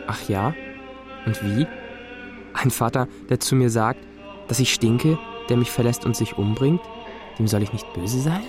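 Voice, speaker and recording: A cheeky teenage boy played by a male actor in a radio drama, blunt and defiant. His middling-pitched voice has a sardonic, evasive edge, with music behind it. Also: a little slow, long pauses, close to an everyday voice. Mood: bitter, defiant. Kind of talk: radio play dialogue.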